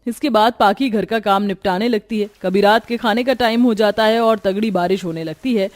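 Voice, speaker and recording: A woman's voice: mid-range voice, normal speed, faint music behind. A woman narrator telling a scripted cartoon story.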